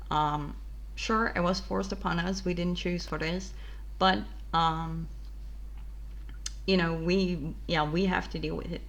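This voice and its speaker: Dutch-accented woman vlogger, low and gravelly, halting and informal. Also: slight background noise. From Netherlands. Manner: hesitant, many fillers and restarts. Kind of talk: casual personal talk to camera.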